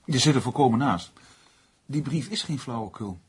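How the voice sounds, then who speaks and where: Husky serious crime writer. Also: male voice, medium pitch, animated, moderate pace. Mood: serious, doubtful. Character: serious, doubting male crime novelist under threat. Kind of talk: Dutch radio play acting.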